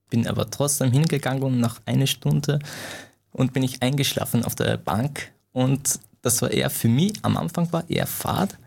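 A man's voice: medium pitch, creaky, darkish tone. A young man who grew up in Iran, speaking German as an in an interview, hesitant and full of fillers and restarts. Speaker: guest, martial arts coach.

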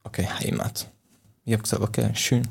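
A young man from Afghanistan who grew up in Iran, a native Farsi speaker, answering interview questions in halting speech with many restarts. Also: on the low side, level tone, vocal fry, slightly rough. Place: Austria.

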